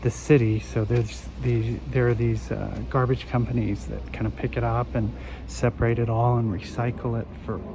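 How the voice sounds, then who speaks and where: A man with a hoarse voice, still recovering from an illness, strolls a residential street and gives a rambling walking-tour commentary full of ums. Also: medium pitch, level tone. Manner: rambling, unhurried, many fillers. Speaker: vlogger. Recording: dull outdoor phone audio with traffic. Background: street traffic.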